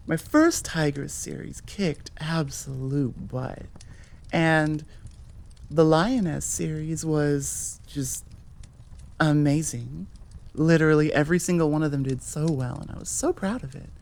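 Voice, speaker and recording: American female creator-host, lowish voice, leisurely pace, loose rambling chat style. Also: slow pace, a little mellow. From Nevada, United States. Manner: informal, self-correcting, chuckling asides. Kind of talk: casual rambling outro chat.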